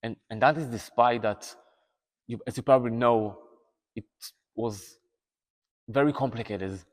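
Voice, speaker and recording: A man's voice: medium pitch, a little slow, big-room sound. A man in his thirties with an Israeli accent, a native Hebrew speaker, appealing earnestly to viewers as an activist, restarting words and using many fillers. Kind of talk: talking to camera, appeal to viewers. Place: indoors, seated in a room. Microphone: lapel mic.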